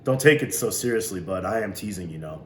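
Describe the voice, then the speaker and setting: A man's voice: sits low, animated, fast talker. Guy putting on a jokey old grandpa driver, folksy and wry, roomy echo, bit of hiss. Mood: teasing. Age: older. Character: teasing older grandfather driver, jovial.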